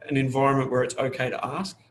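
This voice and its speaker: A man's voice: mid-range voice, little pitch movement, fast talker, slight background noise. A middle-aged man, a fire service manager talking openly in an interview, restarting his sentences often. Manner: open, rambling, many restarts. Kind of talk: casual interview talk.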